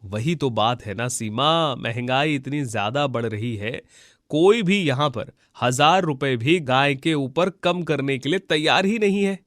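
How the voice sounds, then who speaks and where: A man voicing a poor, humble village labourer husband in a cartoon story, earnest and gentle. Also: medium pitch, animated, unhurried, no room sound, studio quality. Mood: agreeable, earnest. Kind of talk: Hindi cartoon moral-story dubbing.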